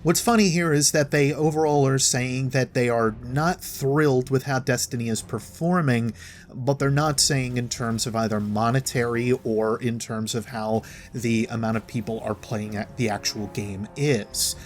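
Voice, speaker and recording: Male gaming commentator, medium pitch, wordy and opinionated, semi-improvised monologue over faint music. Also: fairly even intonation, moderate pace. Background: background music.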